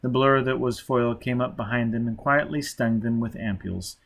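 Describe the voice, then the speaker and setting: A male audiobook reader with a medium-pitched voice. He delivers scripted fiction narration as a storyteller. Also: steady tone, normal speed, vocal fry.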